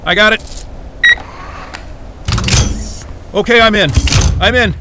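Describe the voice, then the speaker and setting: A man playing an irritable, sweary young astrophysicist in a naturalistic audio drama, talking in a grumbling, halting way. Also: sits high, heavy background noise, close to an everyday voice. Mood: exasperated. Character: groggy, irritable, freezing young male astrophysicist.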